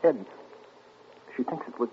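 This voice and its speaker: A man's voice: measured pace, lightly acted. An actor in 1940s radio suspense style, playing an anguished married man with an urgent, haunted delivery. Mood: urgent, pleading. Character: anguished married man hiding a terrible suspicion. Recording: noisy muffled 1940s radio recording.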